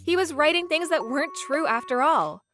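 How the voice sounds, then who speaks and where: A woman narrating a first-person manga dub story in an expressive, theatrical way. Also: high-pitched, fairly quick, faint music behind. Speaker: narrator and voice actor.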